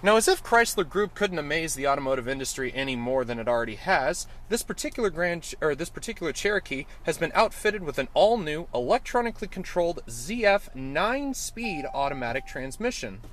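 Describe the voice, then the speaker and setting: A man's voice: on the high side, big pitch swings, a little fast, hardly any pauses, a bit bright, a little hiss or hum. A man giving an enthusiastic, detailed car review, now and then correcting himself mid-sentence, recorded in and around a car. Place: inside and around a car, outdoors.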